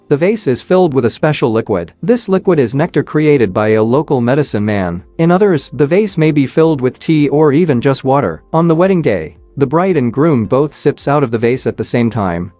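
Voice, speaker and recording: A man giving measured, scripted narration, reading questions and answers evenly with no fillers. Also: medium pitch, dark tone, dry recording.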